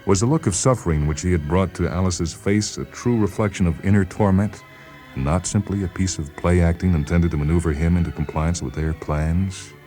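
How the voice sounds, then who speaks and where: A man narrates literary fiction in an extremely deep, mellow voice. His reading is measured and expressive, dramatic in style, with faint music behind it. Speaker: narrator, short story reader.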